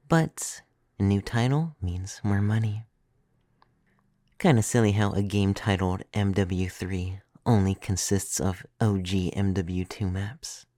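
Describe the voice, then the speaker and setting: Man playing a low, soft femboy vampire, ASMR. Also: dry recording, clean studio sound, heavily acted. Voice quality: soft, breathy, often dropping to a whisper. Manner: intimate, teasing, reassuring. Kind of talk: ASMR roleplay. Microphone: close microphone, dry.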